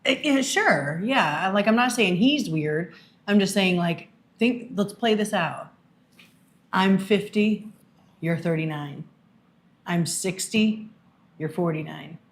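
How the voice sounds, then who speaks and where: A woman's voice: mid-range voice, animated, slow. A woman in her forties co-hosting a podcast with her husband, playful and teasing in casual chat. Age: 40s. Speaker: podcast co-host.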